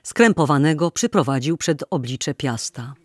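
A woman's voice: low voice, animated, normal speed, slightly rough. A woman narrating a radio drama in a dramatic, literary storytelling manner.

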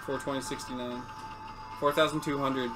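A woman's voice: a bass voice, steady tone, moderate pace. A woman co-hosting a casual let's play, commenting with crude, profane, jokey banter. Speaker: let's play co-host commenting while partner plays. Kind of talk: casual joking gameplay commentary. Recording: game music behind the voice. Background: video game music.

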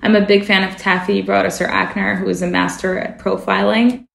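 A woman journalist whose first language is Russian speaks thoughtfully as an interview guest, pausing on fillers and correcting herself mid-sentence. Her voice has a gravelly edge, and the recording carries a roomy echo. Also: medium pitch, little pitch movement, fairly bright tone. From family from the former Soviet Union. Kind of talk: reflective interview conversation.

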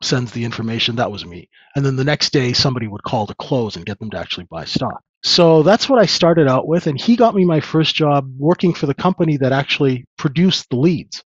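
A man in his fifties who grew up in Canada, telling his life story as an interview guest in a relaxed, rambling way with many fillers. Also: mid-range voice, a little fast, hardly any pauses, husky, dry recording. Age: 50s. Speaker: trader guest. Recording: dull lo-fi dry sound.

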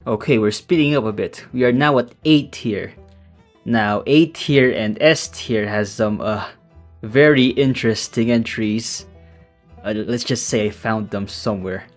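Man, casual video narrator, deadpan delivery, light background music. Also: on the low side, a little slow. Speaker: host of a meme tier-list video.

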